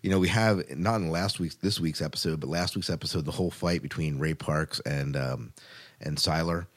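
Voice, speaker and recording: A man with a notably deep voice hosts a casual talk show. He speaks conversationally, often repeating and restarting phrases as he goes. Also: fairly quick. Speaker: podcast host. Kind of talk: casual chat about TV shows.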